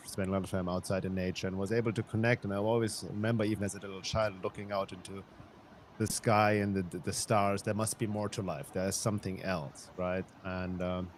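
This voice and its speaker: This is a man in his fifties from Germany speaking as a podcast guest. His voice sits low, and he tells his story in a reflective way, often restarting his sentences. A faint hum of outdoor traffic runs behind him. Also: little pitch movement, a little fast. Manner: reflective, with frequent restarts and light laughs. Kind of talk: conversational interview storytelling.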